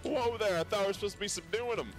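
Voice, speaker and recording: A man's voice: sits high, very fast, faint music behind. A man giving casual gameplay commentary as a streamer, boisterous, slangy and full of swearing. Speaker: game streamer.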